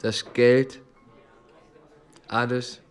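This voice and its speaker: A man's voice: mid-range voice, level tone, extremely slow, clean tone, soft background music. A man playing a sullen, gloomy anarchist comrade in a radio play, answering tersely and morosely. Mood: brooding. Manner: terse, morose, grunting replies.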